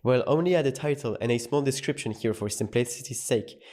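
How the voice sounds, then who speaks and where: Male tutorial narrator, clear, measured, explanatory read. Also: mid-range voice, darkish tone. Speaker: course narrator.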